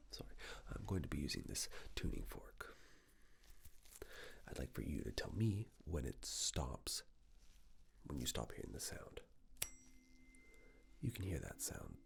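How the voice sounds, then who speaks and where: Very deep, slow, whispering ASMR man. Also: gravelly. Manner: hushed, gentle step-by-step instructions. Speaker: ASMR performer playing a clinician giving a cranial nerve exam. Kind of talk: ASMR medical roleplay, soft near-whisper.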